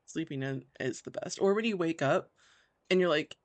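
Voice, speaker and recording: A woman's voice: low-pitched, normal speed, no echo. A woman from the Virginia mountains giving chatty, casual playthrough commentary with joking asides to viewers. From Virginia, Appalachian Mountains, US. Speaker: gaming video host.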